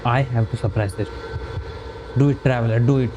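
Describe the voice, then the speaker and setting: A man's voice: medium pitch, steady tone, fairly quick, noisy background. A man streaming a story game, casually commenting in a wry, weary way and talking back at the game. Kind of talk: casual let's-play commentary. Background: game music.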